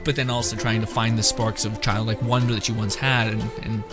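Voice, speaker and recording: A man's voice: fairly low pitch, little pitch movement, a little fast. A young man streaming a game with casual, rambling live reactions and some swearing. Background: game music.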